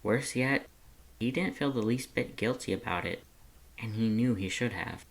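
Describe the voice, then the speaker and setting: Someone reading a fanfic out loud, expressive story narration, dramatic bits. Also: mid-range voice, normal speed, vocal fry. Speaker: fan fiction narrator.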